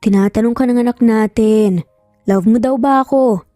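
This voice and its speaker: A woman voicing a calm, affectionate but firm male boss and father in a dramatised story. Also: a little high, normal speed, dry recording, studio quality, faint music behind, close to an everyday voice. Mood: teasing.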